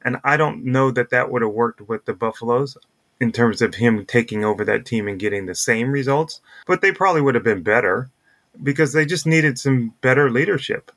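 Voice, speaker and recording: Male sports podcast co-host, medium pitch, casual, thinks out loud. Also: a little fast. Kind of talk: casual baseball discussion.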